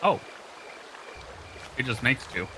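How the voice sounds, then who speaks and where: A man's voice: medium pitch, sing-song, takes it slowly, noisy background. A man chatting casually as a co-streamer during gameplay. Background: game music.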